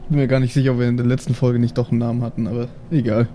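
A man's voice: medium pitch, little pitch movement, very fast, mellow. A man playing in an improvised role-playing show, bantering casually with the group.

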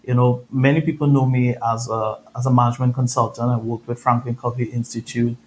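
A man's voice: medium pitch, level tone, brisk, slight background noise, low-quality recording. A man from Trinidad with a Trinidadian accent, a podcast guest telling his story in an earnest, reflective way. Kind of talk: conversational interview storytelling.

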